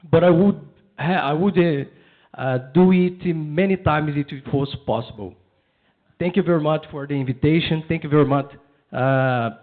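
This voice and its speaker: A man with a Brazilian accent, a native Portuguese speaker, giving a halting thank-you speech as a medical resident, searching for words. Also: a little high. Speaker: neurosurgery resident. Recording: narrow tinny phone-like sound.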